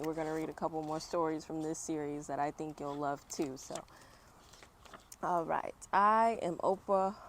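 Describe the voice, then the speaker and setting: Lady with kinda low voice reading a picture book to kids, chatty, outdoors w faint traffic noise. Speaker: children's book read-aloud host. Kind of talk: picture book read-aloud with casual asides. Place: outdoors on a fall day. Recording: outdoor background noise, passing vehicles. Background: outdoor ambience, traffic.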